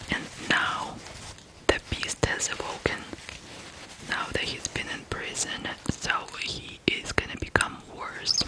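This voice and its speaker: Slow, fully whispered ASMR storyteller from Ukraine. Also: rough recording. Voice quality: whispered, breathy. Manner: slow, deliberate phrasing with pauses. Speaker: ASMR true crime host.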